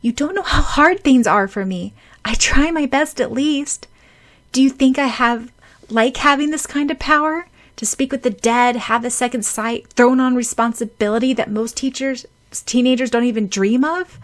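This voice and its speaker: A woman voicing a spirited teenage schoolgirl with second sight, earnest and quick to protest. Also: medium pitch, expressive, close to an everyday voice. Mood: upset. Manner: indignant, pleading, earnest.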